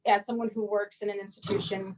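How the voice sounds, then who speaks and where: Flat-toned woman from Barbados. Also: mid-range voice, quick pace, rough recording. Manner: conversational, with fillers and self-corrections. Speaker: invited speaker. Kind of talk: personal talk at a live-streamed arts event.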